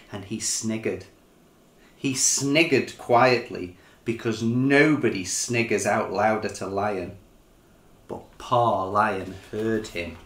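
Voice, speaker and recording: A middle-aged man reading a children's picture book in an expressive, measured, dramatic storytelling style. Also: on the low side. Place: small living room, indoors. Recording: roomy living-room sound.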